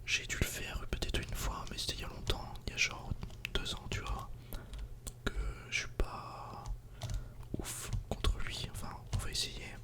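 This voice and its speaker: Guy whispering asmr gameplay commentary, super casual, up close to the mic, keyboard clicking. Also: a little slow. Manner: soft-spoken, casual, informal. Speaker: video game streamer.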